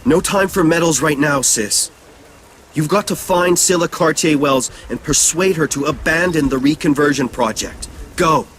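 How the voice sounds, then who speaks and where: Actor as a higher-voiced male rebel leader, theatrical. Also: normal speed, a bit bright, slight background noise, lightly acted. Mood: urgent. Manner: theatrical, directive. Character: urgent, conspiratorial male resistance leader guiding an agent. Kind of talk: dramatic video game cutscene acting. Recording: music behind the voice.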